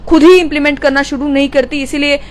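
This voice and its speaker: A woman's voice: sits high, flat delivery, fast. A woman reporter, asking long, probing questions in an interview.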